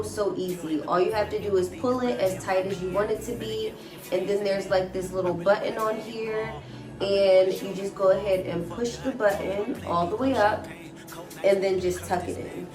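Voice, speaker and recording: A woman with a stuffy nose from a cold, chatting casually to viewers as she walks through a wig install. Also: mid-range voice. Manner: chatty, keeps addressing viewers as guys. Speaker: hair vlogger. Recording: roomy sound from a distant mic with music. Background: background music.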